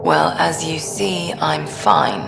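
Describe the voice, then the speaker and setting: A woman's voice: deep voice, big pitch swings, slow, creaky, roomy sound, music in the background, exaggerated voice. A woman playing a defensive, brooding ship's doctor in video game dialogue, dry and sardonic.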